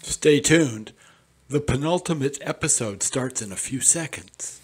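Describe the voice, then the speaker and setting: Husky-voiced man presenting old radio. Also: mid-range voice, expressive, a little slow. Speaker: podcast host presenting old radio shows. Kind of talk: scripted intermission narration.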